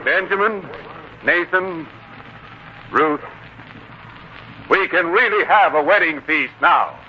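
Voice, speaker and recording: A man's voice: a little high, lively intonation, slow. An actor playing a gracious, generous man at a biblical wedding feast, formal and ceremonious, in 1940s radio style. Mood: magnanimous. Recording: muffled, noisy 1940s radio broadcast.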